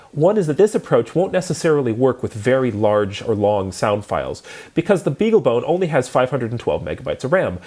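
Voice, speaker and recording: Guy teaching a programming lecture, medium pitch, explains stuff step by step. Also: darkish tone. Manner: explanatory, methodical.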